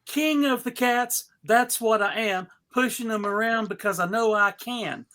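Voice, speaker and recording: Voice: mid-range voice, measured pace, sharp tone. A reader as a boastful, bullying cat monarch. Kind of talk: comic fan fiction read-aloud.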